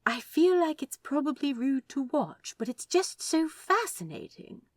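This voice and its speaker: A woman plays a prim male angel from a storybook, fretful and earnest. The pitch is lifted and swoops with curiosity. The phrasing is polite, fussy and hesitant, in a dry, close recording. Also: sing-song, unhurried, no room sound. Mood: fascinated.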